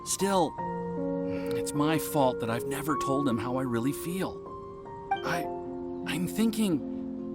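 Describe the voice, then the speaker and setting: A man's voice: fairly high pitch, close to an everyday voice. A man voicing a shy, earnest young man in love in a video game, with a hesitant, stammering delivery. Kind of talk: video game character acting.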